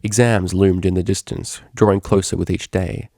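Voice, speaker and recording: A man reading a story aloud as scripted, expressive narration. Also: sits low, normal speed, creaky, dry recording, studio quality. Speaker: fan fiction reader.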